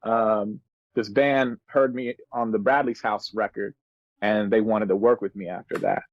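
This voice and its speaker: A man's voice: lowish voice, fairly even intonation, unhurried, dull audio. A man in his thirties telling stories casually as a musician guest on a podcast, in laid-back, slangy, earnest and reflective speech. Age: 30s.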